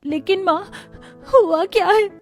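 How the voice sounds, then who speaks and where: A woman's voice: very high voice, measured pace, faint music behind, voice pushed up. A woman voicing a meek, hurt young daughter-in-law from a poor home, timid and pleading, in a cartoon story. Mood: timid, anxious. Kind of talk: cartoon moral story acting.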